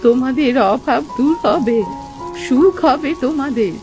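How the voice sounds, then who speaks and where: A woman voicing a gentle, wise old village aunt in a cartoon, speaking with affectionate indulgence. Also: high-pitched, measured pace, over music. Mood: kindly, reassuring. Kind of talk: animated moral story acting.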